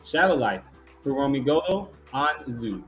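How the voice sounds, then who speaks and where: A man's voice: medium pitch, very animated, moderate pace, fairly bright tone, faint music behind. A man hosting an awards ceremony, announcing categories and finalists and reading out remarks.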